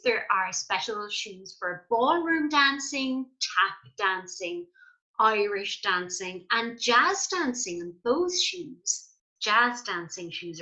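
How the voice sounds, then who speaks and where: A woman teacher reading a children's story aloud in an animated way, with chatty asides and questions for young listeners. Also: fairly high pitch, poor audio.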